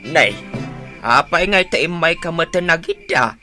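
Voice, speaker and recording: A man's voice: on the high side, expressive, moderate pace, sharp tone, faint music behind. A man playing a nosy, insistent father-in-law in a radio drama, with an Ilocano Filipino accent. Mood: coaxing. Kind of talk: Philippine radio drama acting.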